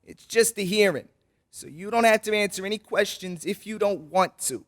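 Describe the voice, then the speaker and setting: Man acting a courtroom judge, formal, patient, high-pitched voice, vintage radio drama. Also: normal speed, fairly bright tone.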